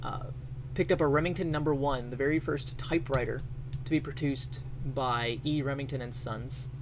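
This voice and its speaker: A man's voice: mid-range voice, moderate pace, background noise. A man in his thirties giving casual, off-the-cuff commentary, often searching for his words. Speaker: streamer presenting a letter. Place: small home bedroom with bookshelves. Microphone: close boom-arm microphone.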